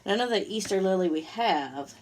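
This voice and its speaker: A woman's voice: medium pitch, a little fast, noisy background. Woman reading a children's picture book aloud. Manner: expressive, asks the listeners questions.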